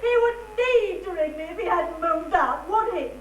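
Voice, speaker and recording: Woman acting a shrill, tearful, melodramatic abandoned lover on stage. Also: animated, normal speed, muffled recording. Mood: tearful despair. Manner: tearful, wailing, theatrical delivery. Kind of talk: amateur stage comedy acting. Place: theatre stage, recorded live. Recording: echoey hall recording with faint hum.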